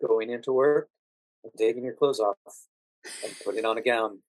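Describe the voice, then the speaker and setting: An American man answering interview questions as a guest, explaining things in a measured, thoughtful conversational way. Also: medium pitch, a little mellow, dry recording, low-quality recording. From United States. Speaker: podcast guest, actor working as a standardized patient.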